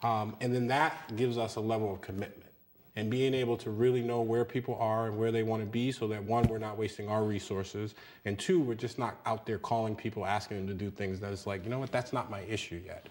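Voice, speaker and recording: A man's voice: lowish voice, steady tone, a little fast, a little mellow, slight background noise. A man in his thirties, a union leader speaking earnestly as a guest in a TV studio interview. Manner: earnest, repeats words when emphasizing. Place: small TV studio.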